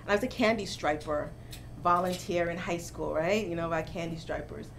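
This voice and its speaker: A middle-aged woman professor from Jamaica speaks in a somewhat low voice. She tells her stories with animation, from a chair in a bookstore. A faint hum of room noise sits underneath. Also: fairly quick, creaky. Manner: animated storytelling, gets louder and laughs when amused. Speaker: professor, interview guest. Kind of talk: conversational interview. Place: bookstore, seated in armchair indoors.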